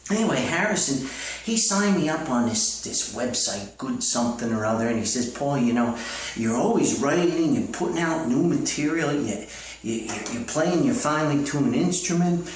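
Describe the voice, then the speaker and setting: A man's voice: medium pitch, animated, moderate pace, a little hiss or hum, natural voice. A man playing a chipper, bumbling, delusional self-styled famous author in a comedy skit, stammering and rambling in a folksy, optimistic way. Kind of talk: improvised-sounding monologue comedy skit. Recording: echoey room, far from the mic.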